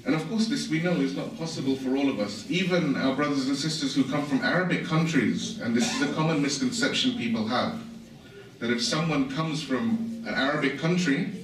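A man's voice: medium pitch, fairly quick. A man from England giving an informal religious lecture, explaining things conversationally with asides. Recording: echoey hall, voice from far off, faint hiss.

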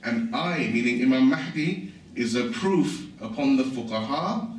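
A man's voice: mid-range voice, expressive, measured pace. A man from England giving an informal religious lecture, explaining things conversationally with asides. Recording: echoey hall, voice from far off, faint hiss.

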